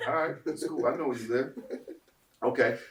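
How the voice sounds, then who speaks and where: A man in his forties, a father hosting a casual chat, talking in an emphatic, animated way with frequent laughs. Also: mid-range voice, normal speed, reverberant, distant mic. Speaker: host and father.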